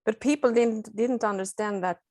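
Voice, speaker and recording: A woman's voice: fairly high pitch, normal speed, darkish tone, no echo, studio quality. A woman with a Swedish accent, a nurse and non-native English speaker, answering interview questions hesitantly while searching for words. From Sweden. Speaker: nurse guest.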